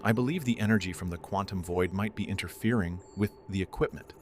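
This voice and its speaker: An actor playing a paranoid, haunted scientist slipping into madness in an audio drama. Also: male voice, sits low, fast talker, a little hiss or hum, natural voice. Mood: uneasy, haunted.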